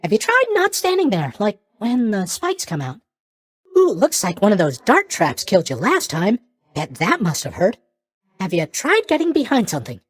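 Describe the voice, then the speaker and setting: A young god of sleep in a video game, drowsy yet chirpy and scatterbrained. The voice sits very high and swoops wildly up and down. The delivery is airy, hesitant and naively blunt, recorded close and dry. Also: male voice, huge pitch swings, moderate pace, husky, no room sound, exaggerated voice. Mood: chatty, naive. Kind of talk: animated video game character voice lines.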